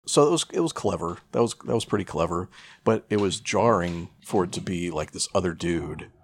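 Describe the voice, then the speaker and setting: A man's voice: low voice, fairly quick, a little hiss or hum. A man chatting casually as a podcast co-host, retelling a story in a profane, irreverent, rambling way. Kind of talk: casual chat, retelling a film plot.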